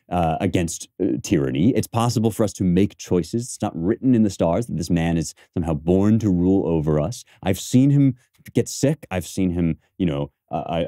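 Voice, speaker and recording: A man's voice: deep voice, warm tone, dry recording, studio quality. A man hosting an informal literary lecture, explaining conversationally and often checking in with short rhetorical questions. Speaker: podcast host.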